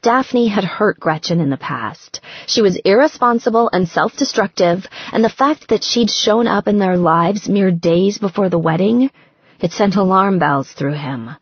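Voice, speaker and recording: A woman's voice: medium pitch, a little slow, breathy edge, dry recording, muffled recording. A woman narrating romance fiction as a scripted audiobook.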